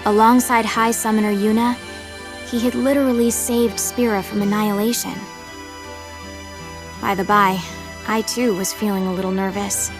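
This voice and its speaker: A woman's voice: mid-range voice, little pitch movement, moderate pace, long pauses, lightly acted. A woman playing an aloof, wry young character who narrates a game audio drama in a cool, detached way. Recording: music behind the voice.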